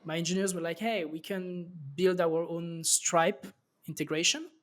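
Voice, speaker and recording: French-accented man, slightly high voice. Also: steady tone, moderate pace, a bit bright. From France. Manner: loose, many fillers and restarts. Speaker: startup founder guest. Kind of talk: casual interview talk.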